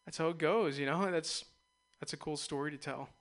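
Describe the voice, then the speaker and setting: A man's voice: fairly high pitch, a little fast. A man hosting a radio interview show, chatting loosely in a rambling way and laughing easily. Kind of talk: casual interview chat.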